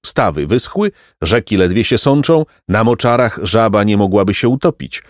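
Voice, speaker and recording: A man voicing a vain, pompous, aristocratic pelican with a shrill squawking voice for a storybook. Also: expressive, moderate pace, no echo, studio quality, lightly acted. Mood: pompous lament. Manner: pompous, haughty, florid exclamations. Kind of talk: storybook character voice.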